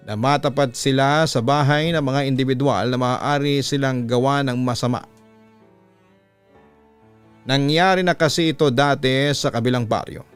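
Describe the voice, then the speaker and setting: A Filipino man with a smooth, resonant voice reads a horror letter like a radio storyteller. His delivery is deliberate and dramatic, close on the mic, with music playing softly underneath. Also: mid-range voice. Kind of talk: dramatic reading of a listener's letter. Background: music bed.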